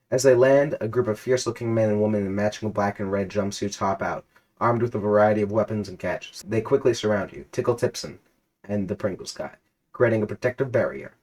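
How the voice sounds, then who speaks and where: A man reading a silly, absurd story aloud in a deadpan narrating voice, now and then cracking up. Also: on the low side, poor audio. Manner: deadpan narration, breaking into laughter.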